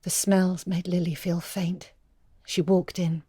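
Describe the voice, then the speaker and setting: A woman narrating a literary short story expressively, in measured, evocative phrases with hushed moments. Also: lowish voice, little pitch movement, breathy edge, dry recording, clean studio sound. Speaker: story reader.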